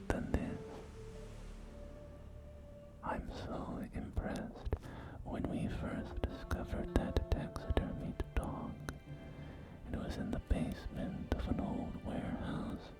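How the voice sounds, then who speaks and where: A man's voice: takes it slowly, natural voice. A man whispering in an ASMR roleplay as a courteous, enthusiastic post-apocalyptic real estate agent, with genteel, hesitant sales patter. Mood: softly enthusiastic. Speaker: roleplay performer. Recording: music behind close whispering. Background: background music.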